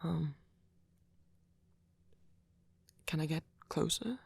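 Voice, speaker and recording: Man acting a breathy, gentle vampire boyfriend. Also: fairly high pitch, moderate pace, no echo. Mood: worried, tender. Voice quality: breathy, often near whisper. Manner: soft-spoken, hesitant, stammering, tender. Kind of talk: ASMR roleplay audio drama.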